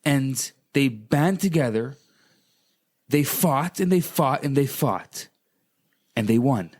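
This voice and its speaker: Slow-paced male rabbi telling stories. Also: medium pitch, lively intonation, a little mellow, slightly rough. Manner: deliberate phrasing with dramatic repetition. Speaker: rabbi, radio co-host. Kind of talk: conversational radio storytelling.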